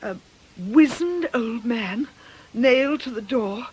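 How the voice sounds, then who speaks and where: A British actress playing a brisk, sensible, ageing nun in a radio drama, articulate and gently scolding. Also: female voice, on the high side, animated, breathy edge, full character voice, poor audio. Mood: alarmed, breathless. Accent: British English. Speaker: actor.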